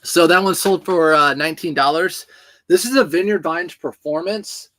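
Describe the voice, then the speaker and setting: A man's voice: a little high, normal speed, a bit bright. A man in his thirties hosts a casual livestream, packing orders and chatting with viewers in a joking, talkative way. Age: 30s. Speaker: reseller hosting a livestream.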